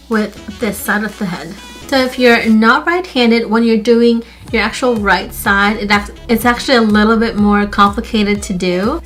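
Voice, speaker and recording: A woman's voice: a little high, a bit bright. A woman with a stuffy nose chatting casually as she walks through a hair tutorial for her channel. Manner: chatty, friendly, repeats "literally" and "like". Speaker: beauty YouTuber.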